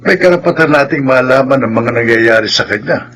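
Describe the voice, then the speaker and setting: An actor playing a stern, concerned village father with a rough voice in a Filipino radio drama. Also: mid-range voice, brisk, a bit bright.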